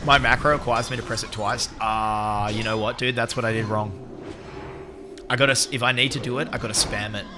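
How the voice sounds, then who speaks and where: A man live-streaming a game and chatting to viewers in an excitable, swearing way, recovering from a lost voice. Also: on the low side, brisk, background noise. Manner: excitable, swears, shouts out chat members. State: recovering from losing his voice. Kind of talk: casual gaming stream chat.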